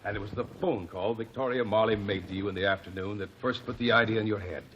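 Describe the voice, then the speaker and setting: An actor playing a methodical male courtroom investigator who presses accusations in a measured way, in an old radio drama. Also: fairly low pitch, steady tone, fairly bright tone, lightly acted. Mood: accusing. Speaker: lead detective. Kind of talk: 1940s radio acting. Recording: dull old radio broadcast with faint hiss.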